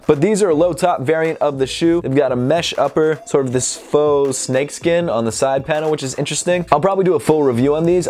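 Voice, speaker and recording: A man in his twenties, slightly hoarse from a cold, chatting upbeat and straight to camera while unboxing clothes on a clip-on mic indoors. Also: mid-range voice, darkish tone. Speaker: sneaker and streetwear vlogger. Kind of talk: casual unboxing vlog. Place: indoor room with sneaker shelves. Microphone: clip-on lapel mic.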